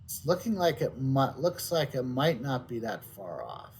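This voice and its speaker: A man giving casual gameplay commentary, halting as he thinks aloud. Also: medium pitch, sing-song, a little mellow, a little hiss or hum. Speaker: game streamer.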